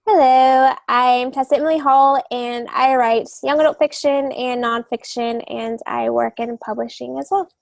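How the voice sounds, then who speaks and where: Higher-voiced woman explaining, close and dry. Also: steady tone, normal speed, creaky, hardly any pauses, darkish tone, no room sound. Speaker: podcast co-host, author. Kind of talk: casual explanatory podcast talk.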